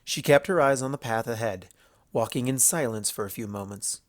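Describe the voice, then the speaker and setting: A man narrates a story from a script. His pitch sits in the middle range for a man. The recording is close and dry, with very little room sound. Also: normal speed, no room sound.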